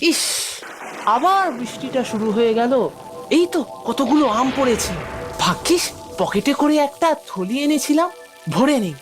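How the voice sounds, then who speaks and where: Woman voicing an excitable high-pitched little schoolboy. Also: normal speed. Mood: eager, scheming. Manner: wheedling, whiny, excitable. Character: mischievous, eager young schoolboy who loves mangoes. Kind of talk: animated cartoon voice acting. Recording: music behind the voice.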